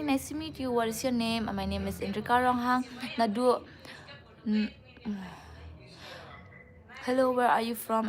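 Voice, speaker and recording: Casual Northeast Indian woman live-streaming. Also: a little high. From Meghalaya, India. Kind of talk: casual live-stream chat, reading and answering comments.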